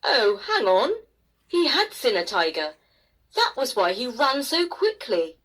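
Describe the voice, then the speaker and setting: A woman storyteller narrating a bedtime tale expressively, with dramatic pauses and emphasis. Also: a little high, huge pitch swings, a bit bright. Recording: faint noise behind the voice.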